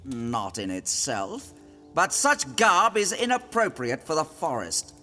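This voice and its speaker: A haughty, suspicious medieval lord in an audio drama. He speaks formally and commandingly, in a very sharp, forceful voice with wide swings of pitch. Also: wildly swooping pitch, takes it slowly, soft background music, exaggerated voice. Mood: cool, appraising.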